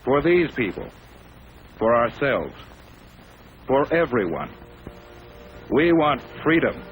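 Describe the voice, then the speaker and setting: A man's voice: mid-range voice, very animated, slow, faint music behind, low-quality recording. A man with an American accent narrates a 1950s educational propaganda film in a formal, solemn, declarative manner, on an old film soundtrack.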